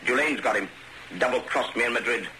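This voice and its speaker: A man's voice: mid-range voice, brisk, sharp tone, natural voice. An actor playing a brusque, sardonic male intelligence chief with a dry manner in a radio spy comedy-drama. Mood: urgent, wry. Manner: dry, sarcastic. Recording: noisy radio drama recording.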